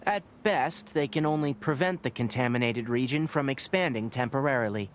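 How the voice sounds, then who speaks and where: An actor voicing a young forest ranger scholar who explains things in a measured, matter-of-fact way. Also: male voice, mid-range voice, slight background noise, natural voice. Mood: explaining.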